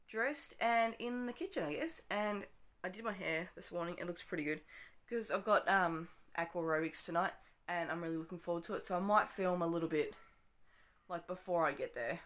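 Chatty Australian woman, croaky morning voice. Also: on the low side, fairly bright tone. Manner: chatty, rambling, self-correcting with frequent ums. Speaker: vlogger. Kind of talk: casual day-in-the-life vlog. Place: small bedroom at home. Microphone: phone camera, handheld or propped up.

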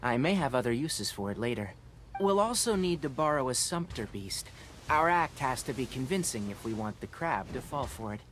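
Man voicing a calm scholarly forest ranger. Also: mid-range voice, moderate pace, a little hiss or hum, natural voice. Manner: precise, analytical, composed. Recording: game audio with faint music.

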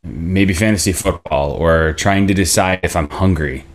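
A man's voice: low-pitched, normal speed, natural voice. A man in his thirties acting a laid-back, wry but defensive boyfriend in a naturalistic table read on a video call.

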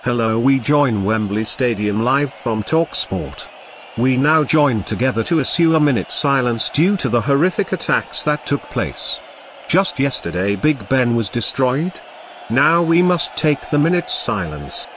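A man's voice: medium pitch, lively intonation, measured pace, natural voice. A man playing a solemn sports radio presenter making a live stadium announcement. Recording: noisy outdoor urban background.

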